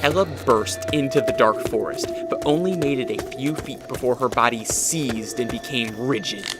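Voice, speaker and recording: A man's voice: mid-range voice, normal speed, loud music behind the voice. A man narrating a scripted radio drama as its storyteller, in a dramatic style.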